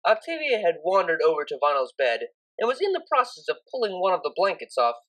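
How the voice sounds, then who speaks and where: A woman's voice: lowish voice, big pitch swings, a little fast, fairly bright tone, low-quality recording. A woman narrating a scripted story expressively, as an audiobook reader.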